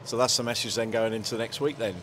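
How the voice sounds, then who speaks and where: A man's voice: medium pitch, steady tone, fast, noisy background. A man interviewing a football manager in a stadium dugout, brief and agreeable. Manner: brief, friendly, lots of agreeing. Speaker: club media interviewer. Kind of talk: post-match interview.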